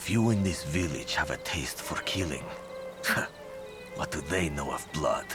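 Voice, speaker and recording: Extremely deep, slow, grim soldier. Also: male voice, expressive, over music, exaggerated voice. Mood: grim brooding. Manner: terse, brooding. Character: grim, brooding male village soldier hardened by blood. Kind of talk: video game character monologue.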